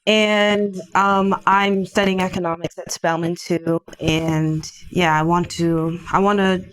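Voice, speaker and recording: A young college woman from D.C. speaks with a somewhat low voice. She is reflective and searches for her words. She often restarts her phrases. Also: flat delivery, slow. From Washington D.C. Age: young adult. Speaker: college student guest. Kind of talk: casual interview.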